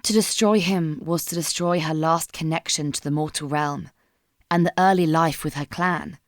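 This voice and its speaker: A woman narrator reads dramatic fiction in a somber, measured way. Her voice sits a little low, and the recording is dry and close to room-free. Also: fairly even intonation, no room sound. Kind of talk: dramatic scripted story narration.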